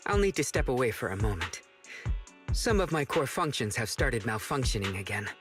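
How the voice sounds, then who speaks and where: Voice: mid-range voice, very animated, moderate pace, over music, close to an everyday voice. A performer playing a polite, cautious robot companion in a video game, with courteous, careful phrasing. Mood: apologetic. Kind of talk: scripted video game voice acting.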